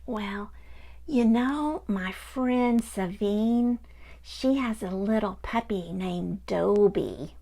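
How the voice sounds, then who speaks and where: A woman's voice: mid-range voice, vocal fry, dark tone, full character voice. Woman voicing a sad little puppy. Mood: upset. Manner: tearful, halting.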